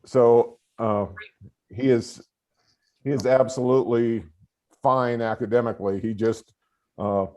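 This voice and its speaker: An older man who has coached for decades, explaining a student's case to a board over a remote call in a loud, earnest voice full of fillers. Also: mid-range voice, steady tone, unhurried, pauses often, lo-fi sound. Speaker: school administrator and longtime coach.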